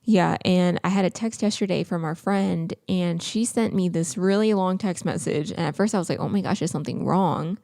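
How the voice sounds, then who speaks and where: A young woman co-hosts a podcast in a loose, chatty way and fills her talk with small hesitations. Her voice sits low and mellow and has a gravelly fry to it. The recording is dry and close. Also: moderate pace, hardly any pauses, no room sound. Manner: chatty, lots of filler words. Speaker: podcast co-host. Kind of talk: casual chat.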